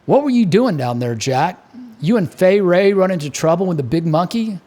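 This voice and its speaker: Man playing a wisecracking bartender, lifted lively pitch. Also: normal speed. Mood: teasing. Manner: breezy, wisecracking banter. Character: ruddy Irish-American male bartender, bantering old pal.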